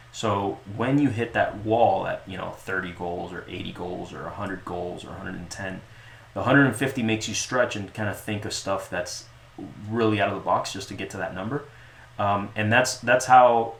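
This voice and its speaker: A man talking casually as an interview guest about the club he runs, relaxed and joking, with lots of restarts and fillers. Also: on the low side, creaky. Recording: echoey room with faint noise.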